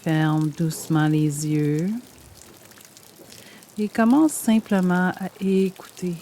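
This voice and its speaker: A woman guiding a yoga nidra meditation in a gentle, soothing, measured way, leaving pauses between phrases. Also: on the low side, slight background noise. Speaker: meditation guide. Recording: steady rain sound over the voice. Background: rain sound.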